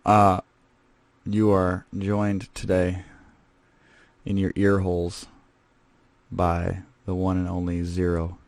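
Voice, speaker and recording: A man's voice: deep voice, monotone, slow pace, gravelly, pauses often, no echo, full character voice. A man playing a rambling, casual male scavenger who hosts a comedic in-character podcast. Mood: chatty. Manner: rambling and casual, lots of fillers. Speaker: host.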